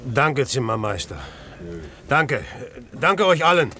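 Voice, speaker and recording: A man's voice: mid-range voice, animated, slow, pushed and bright. An actor playing an earnest, plain-spoken village man in a German radio drama. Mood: grateful, restrained. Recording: noisy background ambience.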